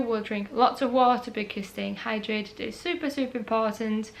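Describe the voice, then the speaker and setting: Austrian woman chatting about knitting, talks slow with lots of ums, bit high, still getting over a cold. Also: a little hiss or hum. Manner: chatty, unhurried, with many ums and repeated words. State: recovering from a cold, voice not fully healed. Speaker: knitting podcast host. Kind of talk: casual chat to camera. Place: home room by a sunny window.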